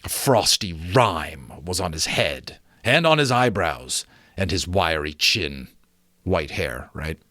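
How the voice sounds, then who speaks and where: A man reading a story aloud as dramatic narration, emphatic and theatrical, drawing out words and pausing for effect. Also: low voice, lively intonation, pushed and bright, no echo, studio quality. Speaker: audiobook reader.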